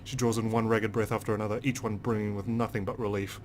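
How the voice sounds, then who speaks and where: A man's voice: lowish voice, little pitch movement, fast, slight background noise. A man hosting a game playthrough, reading narration aloud expressively and dramatically. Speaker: gaming video host. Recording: game music behind the voice.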